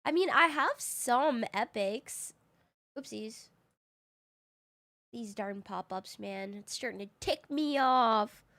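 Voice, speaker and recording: A woman game streamer chatting casually with her viewers while she plays, slightly raspy from just waking up, easygoing and quick to laugh. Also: on the high side, lively intonation, fairly bright tone. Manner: chatty and easygoing, laughs often, thinks aloud. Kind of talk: casual live-stream chat, answering viewers.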